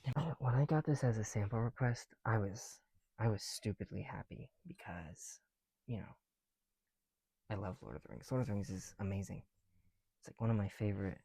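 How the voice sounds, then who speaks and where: A young man chatting casually in soft, breathy ASMR style, often dropping to a whisper, slightly breathless behind a mask, with a rambling, self-deprecating manner. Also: lowish voice, fairly quick, dry recording, poor audio. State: somewhat breathless through a heavy mask. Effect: speaking through a mask. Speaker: ASMR video creator. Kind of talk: ASMR, casual chat while building bricks. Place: indoors, at home.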